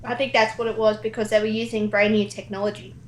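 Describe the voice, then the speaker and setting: A woman's voice: medium pitch, flat delivery, quick pace, slight background noise. A woman speaking as a guest in a casual chat about video games. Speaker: guest, amateur voice actor.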